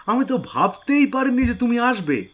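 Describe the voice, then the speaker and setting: A jovial middle-aged uncle , acted by a man, high-pitched and husky. His delivery is eager and exclamatory, swooping widely as he plays the welcoming host in an audio drama. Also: very animated, normal speed, fairly bright tone, heavily acted. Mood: delighted, welcoming. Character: jovial, effusively welcoming uncle of about fifty.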